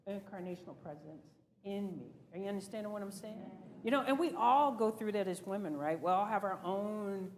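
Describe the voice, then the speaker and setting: A woman gives a reflective talk to a group in a conversational, earnest manner. Her voice sits at a medium pitch. It carries long reverb from a hall, with faint noise behind it. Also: darkish tone. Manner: earnest, conversational, posing questions to listeners. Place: large reverberant room, speaking to a group.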